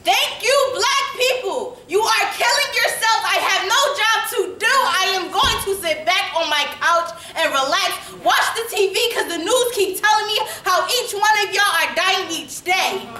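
A woman voicing a gloating, mocking hate-group member with taunting, theatrical, drawn-out sarcasm in a stage poem. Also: very high-pitched, lively intonation, pushed and bright, full character voice. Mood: mock-grateful gloating. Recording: roomy live recording, distant mic, faint noise.

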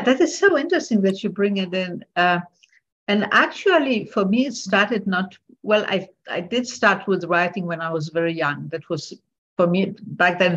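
A woman's voice: on the low side, fairly bright tone. An older woman from Poland, a guest on a video call interview, speaking earnestly with many small restarts and repeated words. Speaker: guest author. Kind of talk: casual interview chat. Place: bright room at home. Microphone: earbuds on a video call. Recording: dull lo-fi video call audio.

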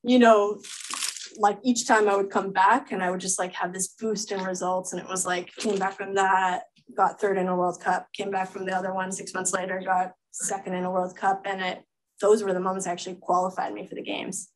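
A woman's voice: medium pitch, little pitch movement, a little fast, slight background noise, poor audio. A Belgian woman, an Olympic triathlete, speaking as a guest and answering questions in a casual, chatty way full of "like". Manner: chatty, filler-heavy, many "like"s.

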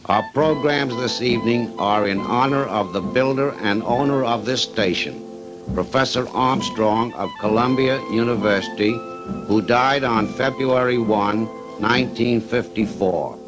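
A man making a formal, measured 1950s radio announcement. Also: medium pitch, low-quality recording. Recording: 1950s radio archive with music.

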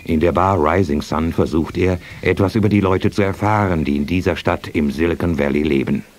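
A man's voice: deep voice, a little fast, soft background music. A man reading scripted narration for a radio play.

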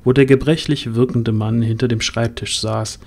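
A man's voice: mid-range voice, fairly even intonation, normal speed, a little mellow. A man reading scripted narration as the storyteller of a fantasy audio drama. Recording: music under the voice.

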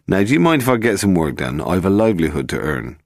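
A man's voice: sits low, very animated, fast talker, vocal fry, no room sound, studio quality, full character voice. A man voicing a surly, resentful farmer in an audiobook, curt and blunt. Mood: angry. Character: surly bitter male farmer, resentful and indebted.

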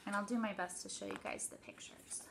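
A woman in her thirties, a teacher doing an expressive read-aloud story time for children. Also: medium pitch, fairly quick, vocal fry, slight background noise. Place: small indoor office.

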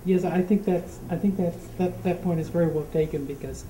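A man speaks up at a book discussion, stopping and restarting as he works through his point. His voice is soft and mellow and heard from across a roomy hall. Light noise runs underneath. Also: a little high, steady tone. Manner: halting, many restarts and fillers. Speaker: audience member. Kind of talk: casual discussion. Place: community meeting room. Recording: echoey room from a distance, faint noise.

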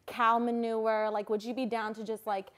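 A woman's voice: a little high, level tone, moderate pace, fairly bright tone. A woman in her twenties improvising a prank call as a producer, gushing and swearing freely, recorded in a living room. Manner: gushing, profane. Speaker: prank caller.

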